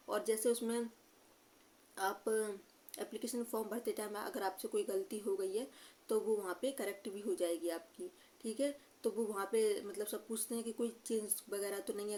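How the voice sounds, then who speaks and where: Young woman vlogging, mid pitch, casual explainer, hesitant, keeps adding little check-in tags. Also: darkish tone. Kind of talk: casual informational talk to viewers.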